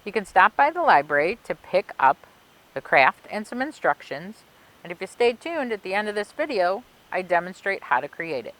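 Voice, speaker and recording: A woman reading and explaining things for children in an expressive way, with simple, clear phrasing, like a children's librarian. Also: medium pitch, normal speed, rough recording. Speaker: children's librarian reading a story and demonstrating a craft. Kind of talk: read-aloud storytelling and craft instructions for children.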